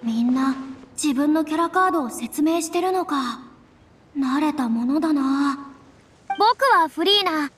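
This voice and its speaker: A woman's voice: high-pitched, lively intonation, measured pace. A woman playing a boyish, theatrical young noblewoman adventurer in a game, with earnest phrasing. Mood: impressed, then shy, earnest. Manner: boyish phrasing, earnest, slightly self-conscious. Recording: music under the voice.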